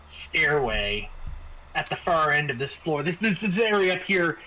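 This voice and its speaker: A man acting as game master, improvising scene descriptions in halting phrases with frequent repeats. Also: fairly high pitch, very animated, sharp tone, rough recording. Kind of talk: improvised narration of a tabletop game. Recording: faint background noise.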